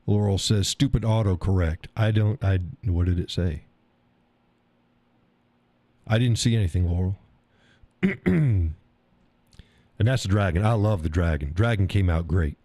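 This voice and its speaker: A man's voice: low-pitched, measured pace, long pauses, warm tone, no room sound. A man with a stuffy nose, hosting a casual live stream, rambling in a folksy way and reading chat messages aloud. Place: home workshop room.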